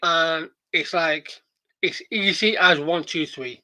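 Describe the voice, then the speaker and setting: Podcast host, slow and bright, rambling profane reaction chat with fillers. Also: mid-range voice, dry recording.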